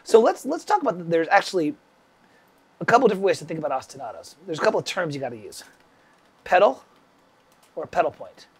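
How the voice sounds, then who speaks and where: A man's voice: on the high side, sing-song, quick pace, pauses often, breathy edge. A man with a stuffy summer cold, teaching music composition on a casual livestream, rambling and chatting with viewers by name.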